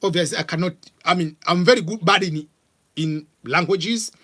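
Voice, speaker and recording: A middle-aged man from Tanzania with a Tanzanian accent, hosting a live vlog and answering viewer questions in a casual, halting way full of fillers. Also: sits high, bright, forceful tone. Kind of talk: live Q&A chat.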